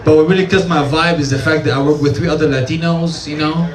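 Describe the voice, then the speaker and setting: A tipsy man from Syria with a Syrian accent, doing a deadpan stand-up comedy set and repeating small asides after each punchline. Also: a little high, quick pace. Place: small cafe comedy stage. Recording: echoey room with crowd noise. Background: audience laughter and room ambience.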